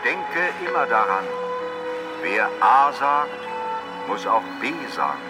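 An actor playing a commanding voice of state authority in a German radio play, declaiming ceremonially. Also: male voice, medium pitch, big pitch swings, slow pace. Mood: solemn command. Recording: loud music and din under voice. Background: loud music.

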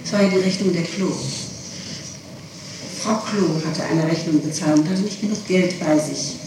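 A woman's voice: fairly low pitch, moderate pace. Woman testifying on echoey old tape. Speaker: person being questioned in an interrogation. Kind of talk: interrogation testimony, spontaneous answers. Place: echoey room, recorded from a distance. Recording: noisy, echoey 1960s tape, distant microphone.

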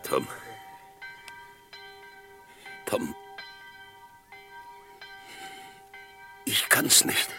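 An actor playing an anguished, guilt-ridden sheriff in a 1960s German western radio drama, speaking haltingly and repeating himself. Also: male voice, music in the background, lightly acted.

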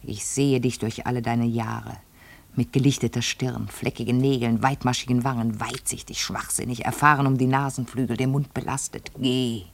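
A woman's voice: very deep voice, fairly even intonation, moderate pace, slight background noise. An actress playing a vain, obsessive lady fearful of ageing, speaking theatrically and intensely in a literary radio play. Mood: scornful. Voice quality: husky, breathy, creaky. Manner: theatrical, intense, addressing a servant.

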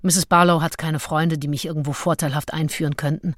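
A woman's voice: low-pitched, steady tone, brisk, no echo, clean studio sound. An actress playing a scheming, self-aware nineteenth-century female seducer in a confessional, theatrical radio-drama monologue. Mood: cool, calculating. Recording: music behind the voice.

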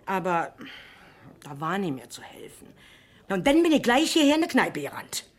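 An actress playing an excitable, nosy working-class woman with a Berlin accent in a 1970s radio crime drama, garrulous and colloquial. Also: medium pitch, moderate pace, slightly rough. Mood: chatty, self-important. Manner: garrulous, colloquial, breathlessly stammering in agitation.

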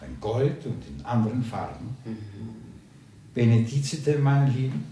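A man's voice: mid-range voice, expressive, mellow, a little hiss or hum, close to an everyday voice. Man playing a pompous village padre, swooping and echoing. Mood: unctuous, preaching. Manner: preachy, drawn-out, self-satisfied. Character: pompous male village padre, unctuous and well-fed. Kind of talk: German radio play acting. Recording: roomy echo around the voice.

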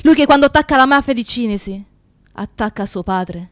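An actress as a grave storyteller, narrating a radio drama in a measured, earnest way. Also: female voice, on the high side, lively intonation, no echo, studio quality, lightly acted. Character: grave female storyteller of a Mafia tragedy. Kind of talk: dramatic third-person storytelling in radio theatre.

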